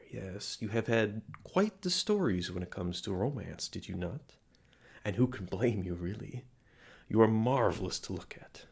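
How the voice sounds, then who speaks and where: A man's voice: on the low side, creaky, breathy edge, full character voice. A man playing a flirty, theatrical palm reader at a fantasy inn, speaking in courtly, coaxing phrases to the listener in an intimate audio roleplay. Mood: flirty, advising.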